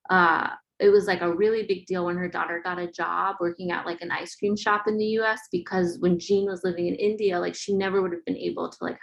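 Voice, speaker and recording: Casual woman presenter with a creaky voice. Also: lowish voice, low-quality recording. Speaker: photographer presenting a project. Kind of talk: informal webinar presentation. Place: online webinar.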